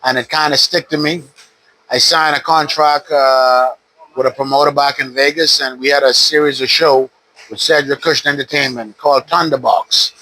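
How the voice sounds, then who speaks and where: A man in his fifties from the Bahamas, a retired boxer calmly telling his story in an interview at a boxing gym. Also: medium pitch, moderate pace, rough recording. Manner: relaxed, steady storytelling with frequent "uh". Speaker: retired pro boxer, guest. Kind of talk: casual interview.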